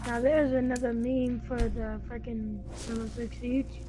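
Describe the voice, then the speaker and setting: A woman's voice: a little high, level tone, a little slow. A young woman chatting casually with a friend while gaming. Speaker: gamer streaming with a friend. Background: game music.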